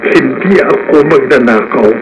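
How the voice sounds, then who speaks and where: A man playing an anguished, loving middle-aged jailed father in a Filipino radio drama, earnest and halting. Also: medium pitch, vocal fry, close to an everyday voice. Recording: noisy radio broadcast.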